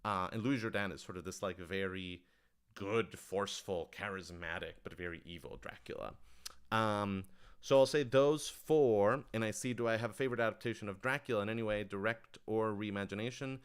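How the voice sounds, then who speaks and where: Smooth, low-voiced man chatting enthusiastically. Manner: enthusiastic, emphatic; halting, thinking aloud, frequent "like" and "kind of". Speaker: writer-director answering audience questions. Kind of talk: casual livestream Q&A chat.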